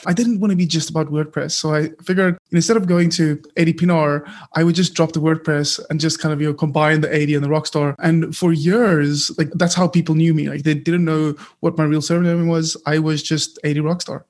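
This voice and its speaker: A man's voice: fairly high pitch, fairly even intonation, a little fast, few pauses. A South African man whose first language is Afrikaans, speaking as a podcast guest in an open, reflective way with many fillers. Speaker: guest entrepreneur. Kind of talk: podcast interview.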